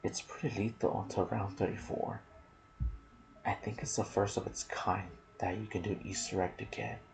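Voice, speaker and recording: Male ASMR gaming host, soft and intimate delivery slipping into whispers, low gravelly register. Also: fairly even intonation, normal speed, crowd noise, low-quality recording. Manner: soft-spoken, sometimes dropping to a whisper. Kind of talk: ASMR gaming commentary, reading trivia with asides.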